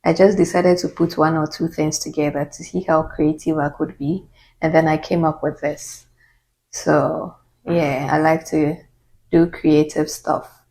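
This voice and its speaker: Low-voiced Ghanaian woman vlogging. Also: darkish tone, rough recording. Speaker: YouTuber. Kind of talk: casual get-to-know-me Q&A.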